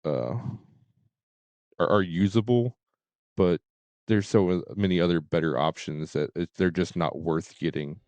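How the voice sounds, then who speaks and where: A man talking casually as a game streamer, in a halting way with repeated and stuttered words. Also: sits low, darkish tone, clean tone, no room sound.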